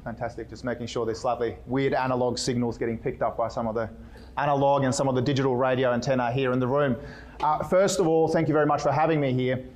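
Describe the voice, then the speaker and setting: A man from Sweden with a Swedish-Australian accent, giving a fast, playful keynote talk at a conference. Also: mid-range voice, fairly even intonation, a little mellow. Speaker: keynote speaker. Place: conference room.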